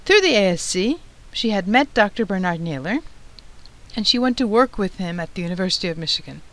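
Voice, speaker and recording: A middle-aged woman from Turkey hosting a professional society's podcast, reading a prepared script in a measured, formal way. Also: medium pitch, lively intonation, a bit bright. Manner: measured, formal, read-aloud delivery. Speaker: podcast host, society president.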